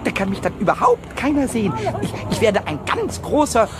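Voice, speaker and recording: A man playing a small, mischievous, childlike kobold in a children's radio play, stammering and repeating words excitedly in a squeaky, scratchy voice. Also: brisk, music in the background. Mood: scheming, excited.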